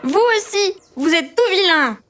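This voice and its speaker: A woman's voice: very high voice, expressive, unhurried, background noise. A woman voicing a vain, bossy young girl train in a children's cartoon, boastful and easily upset. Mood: haughty, annoyed. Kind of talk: children's cartoon dub acting.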